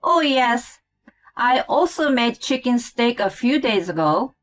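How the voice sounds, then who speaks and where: A woman's voice: on the high side, lively intonation, unhurried, soft background music. A woman voicing a cheerful, eager mother in a story, with a sweet, insistent manner. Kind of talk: acted story dialogue.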